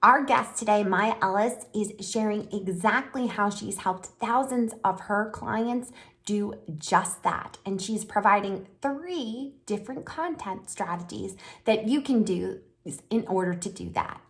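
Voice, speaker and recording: A woman's voice: mid-range voice, measured pace, fairly bright tone, breathy edge. A woman hosting a business podcast, friendly and affirming, who introduces her guest and asks questions. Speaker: podcast host. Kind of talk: podcast interview.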